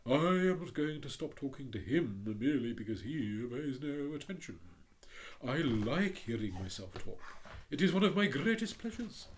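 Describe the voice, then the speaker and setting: A storyteller voicing a haughty, conceited male firework rocket, slow and grandly drawn out. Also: medium pitch, a little hiss or hum, pitched above the performer's own voice, close to an everyday voice. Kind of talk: storybook reading.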